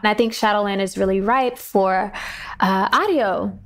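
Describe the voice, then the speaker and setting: A woman's voice: medium pitch, a little slow. A woman playwright talking as an interview guest, telling of her work. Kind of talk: storytelling in an interview.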